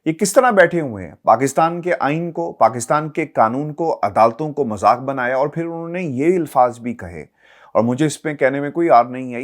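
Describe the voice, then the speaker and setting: A man with a Pakistani accent gives political news commentary as a journalist, speaking emphatically and posing rhetorical questions to viewers. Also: mid-range voice.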